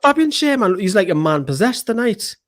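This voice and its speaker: A man co-hosting a live fan stream, calling the match and yelling at the screen, swearing freely. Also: sits high, very animated, a little fast. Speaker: fan channel co-host. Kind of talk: excitable live match commentary.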